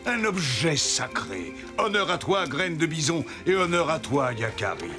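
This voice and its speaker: A ceremonious cartoon elder, proclaiming honours in a slightly high voice. The intonation rises and falls widely, and music plays behind. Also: male voice, big pitch swings, measured pace. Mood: solemn, honouring. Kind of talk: French cartoon dubbing.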